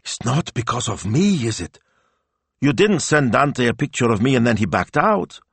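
A man's voice: medium pitch, huge pitch swings, moderate pace, a bit bright, no echo, voice pushed up, heavily acted. A man voicing a self-absorbed, chatty young woman in an audiobook, pouty, breezy and earnest. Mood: puzzled, worried.

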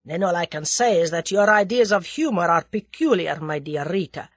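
Woman voicing a snarling, husky Russian male conspirator. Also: fairly low pitch, animated, moderate pace, dry recording. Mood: sour exasperation. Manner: snarling, forceful, exasperated delivery. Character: bitter, agitated, menacing adult Russian male conspirator. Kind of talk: dramatised audiobook character dialogue.

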